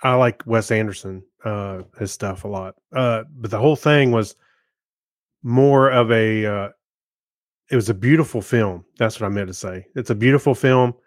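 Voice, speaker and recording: A man co-hosting a podcast, giving casual reviews in a halting way as he searches for his words. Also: lowish voice, no room sound, studio quality. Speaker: podcast co-host. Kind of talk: casual film review chat.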